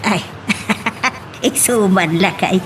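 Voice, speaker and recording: A woman's voice: mid-range voice, slow, background noise, close to an everyday voice. A woman playing a scatterbrained, soothing mother in an Ilocano audio drama. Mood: flustered, soothing.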